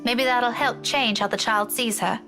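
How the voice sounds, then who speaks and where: An actress playing a blunt, needling schoolteacher with grudging kindness in a visual novel. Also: female voice, a little high, steady tone, normal speed, music in the background, natural voice. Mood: frank, advising. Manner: frank, teasing, lecturing. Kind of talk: dramatic visual novel voice acting.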